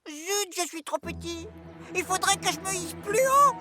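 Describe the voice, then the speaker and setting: High-pitched, curious little boy. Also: animated, moderate pace, over music. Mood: determined. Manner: childlike, polite, inquisitive. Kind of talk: French-language cartoon dub acting.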